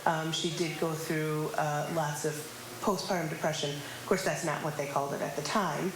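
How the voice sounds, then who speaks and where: A woman explaining informally in an interview, reflective and hesitant, with halting phrasing. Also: sits low, steady tone, background noise. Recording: roomy echo under loud music.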